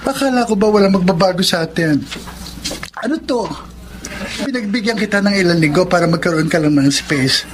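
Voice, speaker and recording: A man's voice: high voice, brisk, noisy background, natural voice. Pleading young Filipino man, acted by an actor. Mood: urgent pleading. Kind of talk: Filipino radio drama acting.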